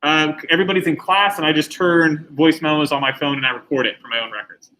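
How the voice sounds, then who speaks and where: A man's voice: a little high, steady tone, quick pace, sharp tone, slight background noise, poor audio. A middle-aged man teaching a class, lecturing in a conversational way and putting questions to the students.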